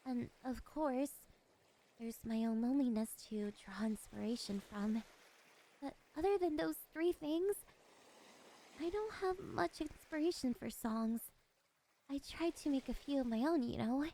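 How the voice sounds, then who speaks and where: A woman playing a lonely, possessive siren in an ASMR roleplay, speaking in a wistful, gently coaxing and politely menacing way. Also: high voice, a little slow, slight background noise. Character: lonely, possessive female siren, curious and soft-spoken. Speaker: voice actress.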